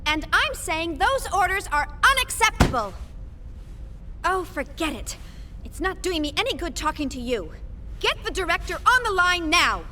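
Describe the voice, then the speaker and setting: High lively female voice, headstrong young scientist, assertive, articulate, video game drama. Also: normal speed, a little hiss or hum, full character voice. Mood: indignant, insistent. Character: headstrong, exacting young woman scientist and team chief. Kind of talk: English-dubbed anime-style game acting.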